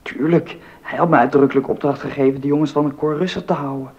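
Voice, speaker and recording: An actor playing an aggrieved, incredulous young choir prefect in a docudrama. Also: male voice, on the high side, steady tone, fast talker, darkish tone, natural voice. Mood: aggrieved, defiant. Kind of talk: Dutch docudrama acting. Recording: dull, lo-fi sound.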